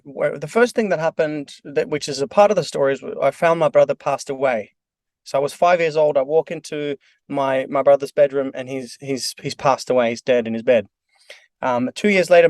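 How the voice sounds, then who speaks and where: A man's voice: on the high side, a little mellow, no echo. Norwegian man, earnest podcast guest. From Norway. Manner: earnest, hesitant with many ums and uhs. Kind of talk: podcast interview.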